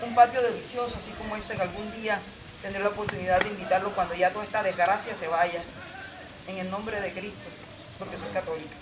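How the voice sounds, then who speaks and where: A woman's voice: mid-range voice, steady tone, quick pace. Woman panelist, emphatic storyteller, roomy sound with noise behind. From Colombia. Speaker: panelist at a community forum. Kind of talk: impassioned personal talk. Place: outdoor patio. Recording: roomy, distant and noisy.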